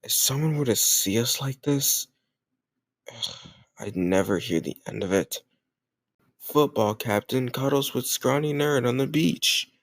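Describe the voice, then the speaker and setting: A man's voice: mid-range voice, slow, long pauses, dry recording. A man playing a cocky, popular teenage football captain bully with a secret tender side, teasing and hesitant in an intimate audio roleplay spoken to the listener. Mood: smug, teasing. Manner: teasing, smug, hesitant murmured asides.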